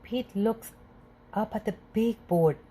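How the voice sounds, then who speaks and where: A woman reading a children's picture book aloud in a slow, clear, gentle way. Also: mid-range voice. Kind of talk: read-aloud storytelling.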